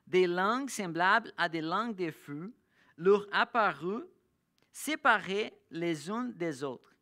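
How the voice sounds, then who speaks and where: A preacher delivering a sermon in a bright, forceful voice. The speech is halting, with frequent restarts and searching pauses. Passages of scripture are read out slowly. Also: medium pitch, expressive. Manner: slows down to read scripture aloud. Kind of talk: sermon with Bible reading. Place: church.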